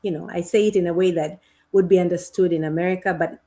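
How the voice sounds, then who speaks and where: A middle-aged woman from Kenya with a Kenyan accent, speaking as a guest on a video-call interview in a thoughtful, unhurried way. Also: fairly low pitch, steady tone, mellow. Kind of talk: conversational interview.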